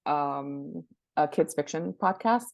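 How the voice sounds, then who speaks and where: Low-voiced woman, close dry sound. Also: lively intonation, takes it slowly, vocal fry, a little mellow, no room sound, muffled recording. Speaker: guest expert, book marketing professional. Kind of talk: conversational interview answers.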